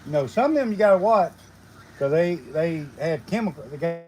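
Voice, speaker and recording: A man's voice: fairly high pitch, expressive, brisk, darkish tone. A man talking casually and rambling during a homesteading live stream, outdoors while sharpening blades. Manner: folksy, rambling, lots of fillers. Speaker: homesteading vlogger.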